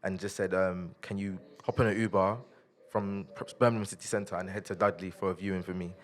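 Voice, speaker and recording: A young man, chatting casually as a podcast guest in a relaxed, laid-back way. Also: low-pitched, a little fast. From South East London, England. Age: 20s. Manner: relaxed, laid-back, lots of "yeah, yeah" fillers. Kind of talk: casual interview chat.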